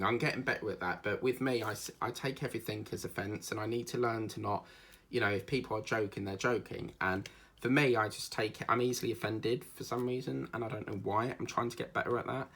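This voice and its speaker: A chatty man with an Essex accent rambles along in a casual vlog, full of little fillers and asides. His voice sits fairly low, with a gravelly, rough, husky edge. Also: brisk, pushed and bright. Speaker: diamond painting vlogger. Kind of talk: casual chat while crafting.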